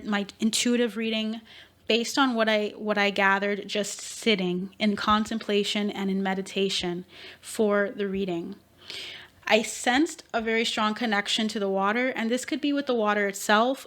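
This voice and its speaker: A woman talks through an intuitive reading in a relaxed, meandering way, stopping often to correct herself and add fillers. Her voice sits at a middle pitch for a woman. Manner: rambling, thinks aloud with fillers and self-corrections. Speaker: intuitive reader. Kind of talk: tarot-style intuitive reading and history talk.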